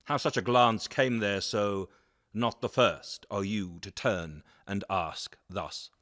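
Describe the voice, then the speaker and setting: A man playing an imperious, menacing Renaissance Duke, reciting verse in a measured, caressing manner in an audio drama. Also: on the low side, a bit bright, no echo, studio quality. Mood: brooding.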